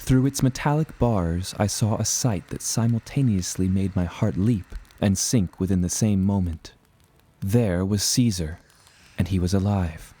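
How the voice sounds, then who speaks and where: A man narrating a scripted first-person adventure story in a measured, dramatic way. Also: deep voice, dark tone, husky.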